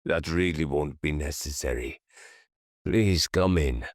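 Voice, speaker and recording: Man playing a very deep, soft-spoken old gentleman. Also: a little slow, no echo, studio quality. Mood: composed. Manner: soft, composed, faintly amused. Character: softly commanding, mocking old Hungarian gentleman. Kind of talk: audiobook character dialogue.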